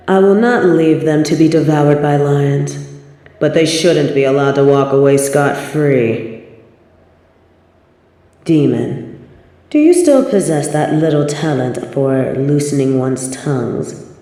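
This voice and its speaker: A woman's voice: sits low, mellow, heavy reverb. A woman voicing a haughty fallen angel in an audio drama, imperious and pompous with archaic formal diction, yet fond of her demon companion.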